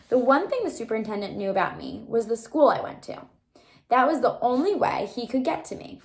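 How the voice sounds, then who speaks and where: A woman reading a children's novel aloud like a teacher, with animated storytelling and asides to explain or wonder. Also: a little high. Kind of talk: expressive children's book read-aloud.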